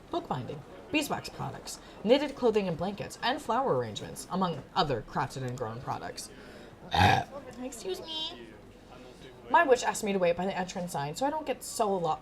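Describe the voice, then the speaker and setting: A woman's voice: on the low side, sing-song, fast. A woman reading a visual novel aloud expressively, with informal, casual asides. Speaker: gaming content creator. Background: music.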